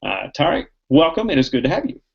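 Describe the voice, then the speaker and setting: A man's voice: on the high side, big pitch swings, fast, gravelly, slightly rough. A man hosting a club meeting and briefly welcoming a speaker. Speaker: club host. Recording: dull lo-fi recording.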